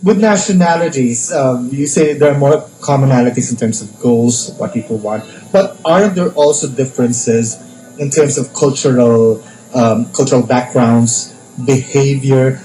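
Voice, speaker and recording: A man's voice: medium pitch, normal speed, warm tone. A male radio DJ with a Filipino accent hosting an interview, asking long, searching questions and slipping into Tagalog. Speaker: radio DJ and host. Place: radio studio. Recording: roomy studio with faint music.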